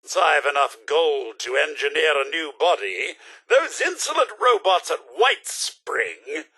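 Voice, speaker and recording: An actor playing a fussy, haughty robot butler in a video game, with a British accent and pompous, theatrically polite delivery. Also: male voice, fairly high pitch, big pitch swings, measured pace, pushed and bright, slightly rough, exaggerated voice. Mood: haughty, indignant. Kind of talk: video game character voice lines.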